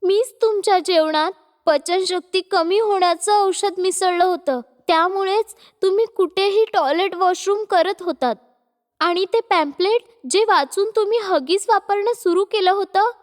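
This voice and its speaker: A woman's voice: very high-pitched, measured pace, long reverb tail, voice pushed up. A woman voicing a young, scheming daughter-in-law in a cartoon story. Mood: confessing.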